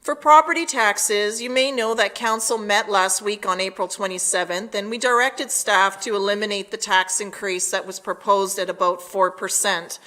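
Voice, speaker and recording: A woman delivering a prepared public address as town mayor, measured and formal. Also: mid-range voice, pushed and bright.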